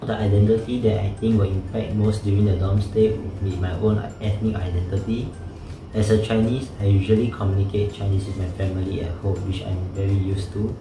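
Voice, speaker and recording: Flat, low-voiced reserved young man. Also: fairly quick. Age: young adult. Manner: reserved, even reading. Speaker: student. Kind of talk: scripted reflection read aloud. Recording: roomy echo with background music.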